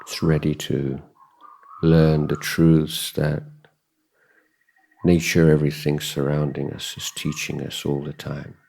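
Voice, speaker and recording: A man giving a guided meditation talk as a Buddhist teacher, contemplative and measured, pausing often between short phrases. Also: extremely low pitch, completely flat delivery, creaky, a little mellow, slightly rough.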